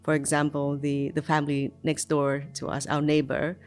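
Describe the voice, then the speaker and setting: Woman from vietnam, 40s, remembering childhood, lots of um and like, quiet guitar behind. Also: low-pitched, completely flat delivery, normal speed. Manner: reflective, lots of ums and likes, restarts mid-sentence. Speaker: migrant telling her life story. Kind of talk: unscripted personal memoir.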